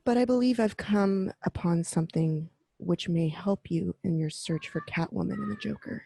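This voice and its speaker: Confident masked heroine, low gravelly voice, dark tone, dry sound. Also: female voice, normal speed, dry recording. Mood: urgent. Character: confident young adult female masked crime fighter.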